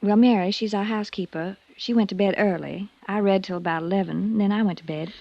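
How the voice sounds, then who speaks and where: A woman's voice: mid-range voice, fairly quick, gravelly, dark tone, no echo. A grieving young woman in vintage radio drama. She is polite and guarded but shaken. The recording is dry and muffled. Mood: tense, recounting. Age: young adult. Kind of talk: 1950s radio drama acting.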